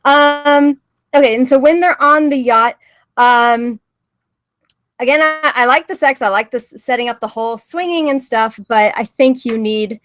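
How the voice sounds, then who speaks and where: A woman's voice: high-pitched, normal speed, fairly bright tone, dry recording. A woman giving casual, candid feedback on a script in an informal, blunt way.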